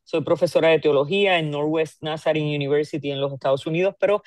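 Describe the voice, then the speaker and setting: A woman's voice: low-pitched, fairly even intonation, quick pace, a little mellow, dry recording. Puerto Rican woman, a friendly theology professor. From Puerto Rico. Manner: friendly, collegial. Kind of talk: casual self-introduction.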